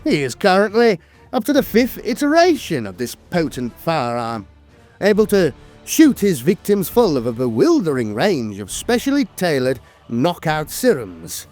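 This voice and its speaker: A man's voice: fairly high pitch, huge pitch swings, moderate pace, darkish tone, faint music behind. A man reading scripted fantasy lore narration in a dramatic, emphatic storytelling manner.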